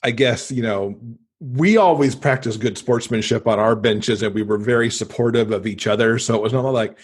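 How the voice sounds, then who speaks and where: Husky-voiced man chatting in an interview. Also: mid-range voice, normal speed. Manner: conversational, explains at length with asides. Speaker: podcast guest. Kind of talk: casual interview conversation.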